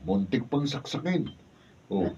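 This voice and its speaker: A man's voice: medium pitch, big pitch swings, measured pace, darkish tone. An actor playing a concerned, old-fashioned male friend who pleads earnestly, in a Filipino radio drama. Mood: earnest, pleading. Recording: dull, distant room recording, faint noise.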